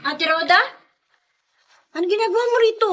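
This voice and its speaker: A dutiful, indignant younger daughter in a Filipino radio drama. Her voice is extremely high and piercingly bright. She scolds insistently, with a sharp tongue for her family. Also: normal speed.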